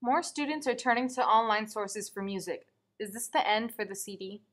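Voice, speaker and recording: A teenage girl reporting a student news story in a scripted voice-over, measured and even. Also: mid-range voice. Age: teens.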